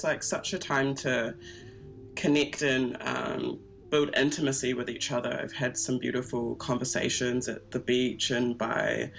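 Low, creaky-voiced woman, hesitant and reflective. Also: poor audio. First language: Twi. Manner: hesitant, reflective, searching for words. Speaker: interview guest, performance artist. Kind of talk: casual conversational interview answers.

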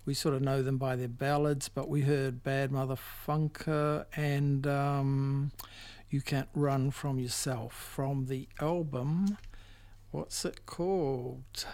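A man's voice: medium pitch, darkish tone. A man hosting a music radio show off the cuff, hesitant and searching for words. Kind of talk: unscripted music show commentary between tracks. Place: radio studio.

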